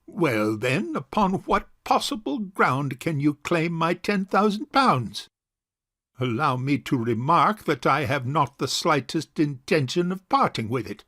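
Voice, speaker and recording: A stubborn, moneyed bachelor gentleman in an audio farce. He speaks slowly and formally, with a very animated, stuffy delivery. The recording is dry. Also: on the high side, dry recording, full character voice. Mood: stern, unyielding. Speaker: voice actor. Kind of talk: stage farce audio drama acting.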